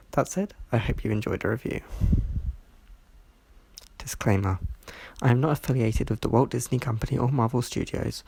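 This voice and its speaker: Stuffy, gravelly-voiced video reviewer. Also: medium pitch, mellow, no room sound. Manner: measured, reads from a script with small slips. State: stuffy from hay fever. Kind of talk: scripted review narration.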